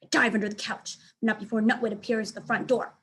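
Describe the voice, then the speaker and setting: A woman reading a children's book aloud as a teacher, in an expressive storytelling style. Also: fairly high pitch, quick pace.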